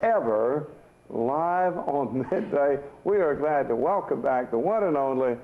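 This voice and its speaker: A man's voice: mid-range voice, lively intonation, unhurried, noisy background. A middle-aged or older man hosting a casual, folksy, agreeable chat interview. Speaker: midday show host. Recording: slightly dull, lo-fi sound.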